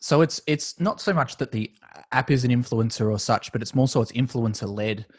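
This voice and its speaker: Relaxed Australian man, dry close sound. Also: mid-range voice, fairly quick, breathy edge, no room sound. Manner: relaxed, rambling, friendly with filler words. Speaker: guest, esports business founder. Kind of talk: casual interview chat.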